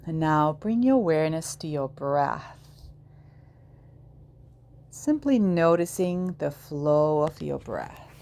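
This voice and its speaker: Very slow, low-voiced woman guiding meditation. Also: lively intonation, mellow. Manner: soothing, measured, with long pauses between short phrases. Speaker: yoga nidra guide.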